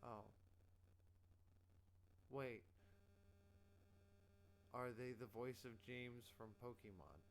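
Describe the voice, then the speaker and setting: A man's voice: mid-range voice, extremely slow, pauses often, a little hiss or hum. A man hosting a casual live-stream movie night, bantering and teasing his guest.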